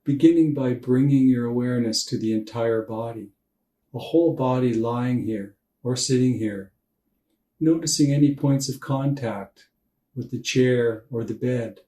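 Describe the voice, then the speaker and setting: A man guiding a meditation for sleep in a calm, unhurried, gentle instructional manner with long pauses. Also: mid-range voice. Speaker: meditation guide. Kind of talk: guided body scan meditation for sleep. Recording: roomy echo, distant from mic.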